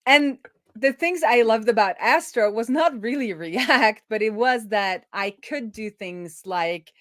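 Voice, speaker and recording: A woman's voice: fairly high pitch, a little slow, a bit bright, no echo. A woman hosting a casual live coding stream, thinking aloud as she explains technical things informally. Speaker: live-streaming web developer and host.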